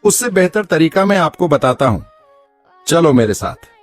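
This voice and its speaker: A man's voice: fairly high pitch, big pitch swings, moderate pace, no echo, faint music behind. A man voicing an earnest, reasoning train passenger in a cartoon story. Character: curious, reasoning male train passenger and husband.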